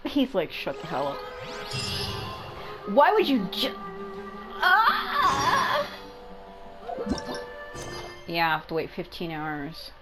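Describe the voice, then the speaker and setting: A woman giving casual, expressive, exclamatory gameplay commentary with plenty of swearing. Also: medium pitch, slow pace, pauses often. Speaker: gaming streamer. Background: game music.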